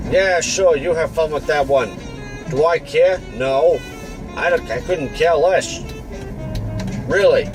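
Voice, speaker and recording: A man's voice: medium pitch, lightly acted, rough recording. A man voicing a world-weary, wisecracking old-time private detective, rambling in an offhand, slangy, grumbling way. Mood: brash, dismissive. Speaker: narrator and host of a comic monologue. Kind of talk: noir private-eye monologue, improvised rambling. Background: jazz music with saxophone.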